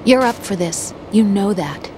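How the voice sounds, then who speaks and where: An actress playing a young woman who encourages her boyfriend in a soft, reassuring way over a radio call. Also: medium pitch, lively intonation, measured pace, slight background noise, natural voice. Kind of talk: radio call in a game cutscene.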